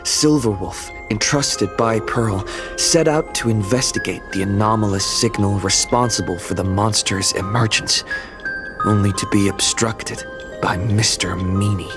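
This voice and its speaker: A man playing a young hero who soberly recounts his adventure in a measured, scripted game-story narration. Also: lowish voice, steady tone, over music, close to an everyday voice. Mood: solemn, measured.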